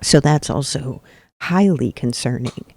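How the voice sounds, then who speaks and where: A man hosting an advocacy podcast, speaking earnestly and emphatically with deliberate repetition, his voice a little off today. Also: mid-range voice, gravelly, husky, no room sound. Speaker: podcast host. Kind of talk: advocacy commentary.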